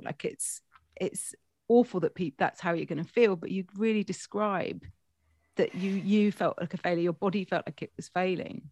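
A woman's voice: medium pitch, dry recording. A middle-aged woman hosting a casual interview, with informal, tentative phrasing. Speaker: interview host. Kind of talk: casual chat.

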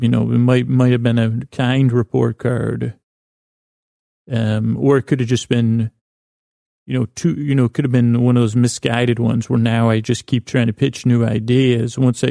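A low-voiced man hosts a sleep podcast with a creaky, mellow delivery. He meanders through half-finished thoughts in a halting, self-deprecating way, often doubling back on himself. The sound is close and dry. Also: dry recording. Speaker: podcast host. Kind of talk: rambling bedtime podcast intro monologue.

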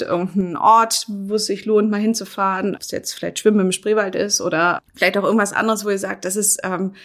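Woman podcast host, from berlin, mid pitch, chatty questions. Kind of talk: friendly interview questions.

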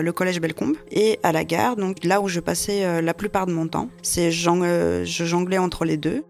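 A woman in her late twenties from Russia, telling her story as a volunteer interpreter, hesitant with many pauses and fillers. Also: mid-range voice, gravelly. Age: 20s. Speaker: Red Cross volunteer interpreter. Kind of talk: personal testimony.

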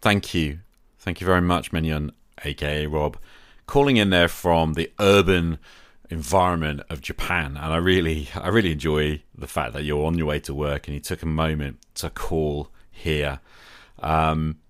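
A man's voice: extremely low pitch, fairly even intonation, moderate pace, hardly any pauses, no room sound. A middle-aged man hosting a podcast, talking reflectively and gratefully as he replies to listeners. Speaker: podcast host. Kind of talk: solo podcast talk replying to listener calls.

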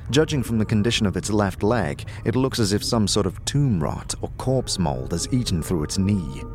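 A man reading scripted fantasy narration as a storyteller, vividly addressing the listener. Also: on the low side. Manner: vivid second-person storytelling.

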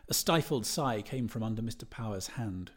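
A man's voice: on the low side, expressive, normal speed. A man reading a literary novel aloud as a scripted audiobook narration. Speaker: audiobook reader.

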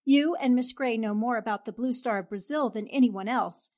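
A woman plays a confident, tactful newspaperwoman in a vintage 1940s radio play. Her voice sits a little high, and she speaks in polite, composed, articulate sentences. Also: normal speed, a little mellow, natural voice. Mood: polite, reassuring. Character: poised, tactful, confident female newspaper columnist. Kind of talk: 1940s radio drama acting.

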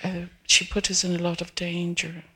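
A woman in her seventies from Peru, an audience member speaking haltingly at a live event, pausing and restarting as she searches for words. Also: lowish voice, completely flat delivery, slightly rough, poor audio. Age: 70s. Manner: hesitant, restarting, soft and searching. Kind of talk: halting personal testimony.

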